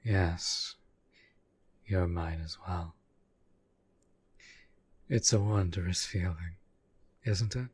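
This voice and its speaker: A man playing a devoted, lovestruck demon bound to a summoner, husky and breathy, speaking in formal, courtly phrases in an intimate audio roleplay. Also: low voice, extremely slow, long pauses, slight background noise. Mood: adoring, sultry.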